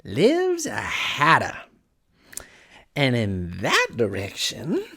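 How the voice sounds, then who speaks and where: A mad, grinning storybook cat, speaking extremely slowly and stretching each word. The pitch swoops about in a mischievous, teasing way, with a breathy, sometimes whispery edge. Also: huge pitch swings, bright, forceful tone, exaggerated voice. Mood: gleeful, mad. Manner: drawn-out words, swooping and teasing. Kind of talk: storybook character voice.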